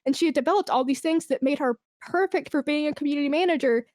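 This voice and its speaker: A woman's voice: sits high, fast talker, darkish tone, no room sound, clean studio sound. A woman guest in a conversational interview, giving career advice in an informal, encouraging and emphatic way. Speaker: podcast guest, esports brand and community manager.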